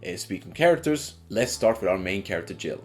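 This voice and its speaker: A man presents a video game review in a casual, scripted narration. His pitch moves around animatedly and his wording is informal and sweary. Light music plays behind him. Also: big pitch swings, quick pace, a little mellow.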